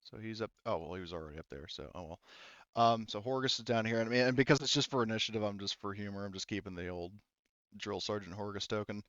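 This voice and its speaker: Smooth-voiced man running a tabletop game. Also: lowish voice, fast, a bit bright, no echo, muffled recording. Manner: informal, sweary, hesitant, thinking aloud. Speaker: game master. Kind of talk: casual tabletop game narration.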